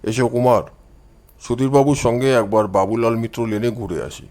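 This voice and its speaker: A man's voice: mid-range voice, steady tone, normal speed, a little mellow, voice pushed down, natural voice. A man voicing a cool, assured amateur detective who gives orders in an audio storybook. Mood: brisk, decisive.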